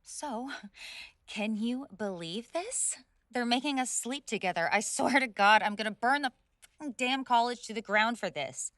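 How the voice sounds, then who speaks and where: A woman's voice: on the high side, unhurried, fairly bright tone, no room sound, clean studio sound. A woman playing a spoiled rich college bully in a roleplay audio drama, indignant, snobbish and sweary, stammering when flustered. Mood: outraged. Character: haughty, spoiled rich college girl bully, hot-tempered tsundere. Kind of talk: scripted roleplay audio drama, one side of a dialogue.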